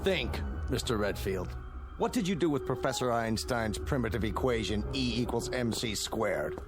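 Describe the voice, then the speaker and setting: Radio drama, male: severe guardian elder played by an actor, medium pitch, lecturing and uncompromising, music beneath. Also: expressive, normal speed, natural voice. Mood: grave, accusing.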